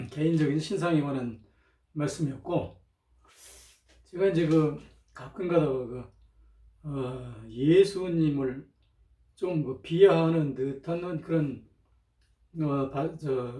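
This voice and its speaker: A man talking in an informal, rambling monologue, hesitant, often clearing his throat, with a slightly strained throat, on a cheap microphone. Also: a little high, warm tone. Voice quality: strained throat from chronic irritation. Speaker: lecturer, channel host. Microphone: cheap, low-volume microphone. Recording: roomy, distant cheap microphone.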